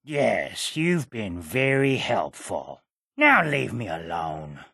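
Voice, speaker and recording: A man's voice: on the low side, wildly swooping pitch, slow pace, gravelly, pushed and bright, slightly rough, dry recording, studio quality, full character voice. An actor playing a cantankerous, defiant old man in a video game, grumbling and curt. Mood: grumpy, annoyed. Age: older. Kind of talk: video game character acting.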